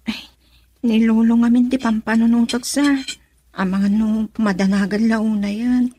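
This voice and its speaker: A woman's voice: a little high, steady tone, measured pace, warm tone. An actress playing a polite, troubled young female student in an Ilocano radio drama, with a Filipino accent. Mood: wistful, earnest.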